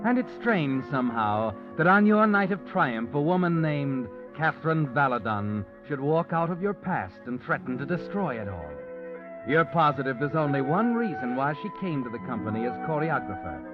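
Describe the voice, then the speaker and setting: The Whistler, ominous radio narrator. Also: male voice, on the high side, very animated, normal speed, over music. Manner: second-person, knowing and foreboding. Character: the Whistler, ominous male narrator speaking to the hero. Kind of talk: 1940s radio acting. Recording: muffled old radio recording.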